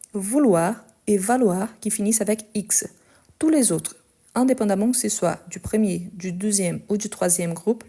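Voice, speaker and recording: A woman leads a live French conjugation lesson, reading each practice sentence aloud at a very slow, deliberate pace. Her voice sits in the middle of the range with a mellow, warm tone, and she explains each rule patiently. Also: mid-range voice. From Portugal. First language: Portuguese. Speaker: French teacher.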